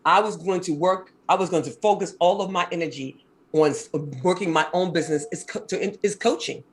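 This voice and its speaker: A woman in her fifties from Maryland with a deep, husky voice, talking fast and animatedly as an interview guest. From Maryland, USA. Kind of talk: casual interview chat.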